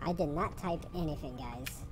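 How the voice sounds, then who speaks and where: Low-voiced woman streaming a game. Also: normal speed, a little mellow, a little hiss or hum. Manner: upbeat, exclaims often. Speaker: streamer hosting a game with fans. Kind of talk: casual gaming chat.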